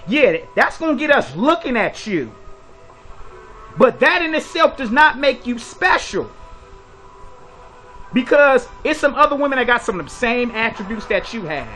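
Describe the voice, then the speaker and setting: High, bright-voiced man lecturing bluntly. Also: very animated, normal speed, long pauses, soft background music. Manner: preachy, blunt, lecturing with rhetorical questions. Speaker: commentary video host. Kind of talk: opinionated commentary monologue.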